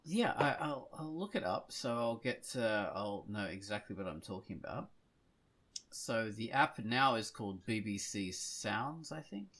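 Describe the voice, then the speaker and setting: Low-voiced man chatting on a podcast. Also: lively intonation, a little slow, fairly bright tone. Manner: rambling, thinking aloud. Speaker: podcast host. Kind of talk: casual podcast chat.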